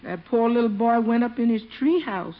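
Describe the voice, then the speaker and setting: Actress as folksy soft-hearted woman, highish voice. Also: moderate pace, natural voice. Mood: pitying. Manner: folksy, plain-spoken, lamenting. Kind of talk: radio sitcom acting. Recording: muffled, faintly noisy old radio recording.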